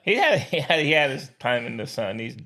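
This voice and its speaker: A man co-hosting a sports podcast, bantering casually in an excitable, opinionated and slangy way. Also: mid-range voice, brisk.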